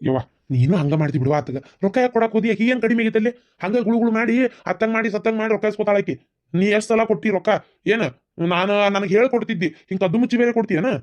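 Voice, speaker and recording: Guy doing fed-up village son in a comedy cartoon, talks super fast, keeps questioning, north karnataka accent. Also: high voice, expressive, lo-fi sound. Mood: reproachful. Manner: questioning, rapid reproaches. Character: exasperated grown village son caught between bickering women.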